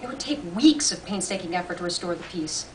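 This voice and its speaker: Female story reader, animated mid-pitched delivery, slightly distant mic with faint room noise. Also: fast. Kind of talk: expressive scripted narration.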